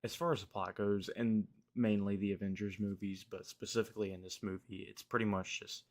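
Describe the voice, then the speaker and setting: A young man, slightly hoarse, rambling through a casual movie review with lots of fillers and restarts. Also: lowish voice, level tone, fairly quick, darkish tone.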